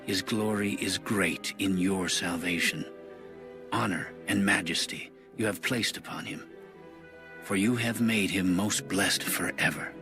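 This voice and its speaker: A man reads scripture in a very deep voice with music clearly underneath. His delivery is slow, solemn and formal, each line measured out. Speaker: scripture narrator.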